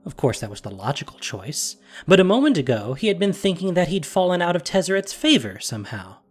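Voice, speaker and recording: A man narrating a scripted fantasy story in an expressive, dramatic way. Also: on the high side, fairly quick, slightly rough, soft background music.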